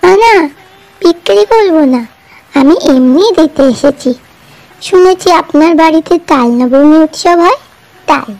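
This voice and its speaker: A woman's voice: sits high, a little slow, a little mellow, no room sound, faint music behind. A woman voicing an eager, hopeful poor little village girl in a cartoon. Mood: earnest. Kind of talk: cartoon voice acting.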